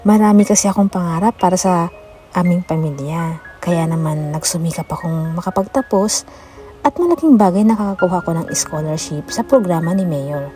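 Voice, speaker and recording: A woman's voice: on the low side, animated, moderate pace, mellow, lightly acted. A woman voicing a determined, hopeful young eldest daughter in an audiobook, earnest and reflective. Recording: music behind the voice.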